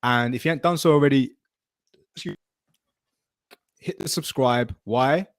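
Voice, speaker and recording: A British man speaks as a podcast host in a casual, opinionated style. His voice sits at an average male pitch and is recorded dry and close. He is emphatic and slangy, and he swears freely. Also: a little slow, dry recording. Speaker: military veteran.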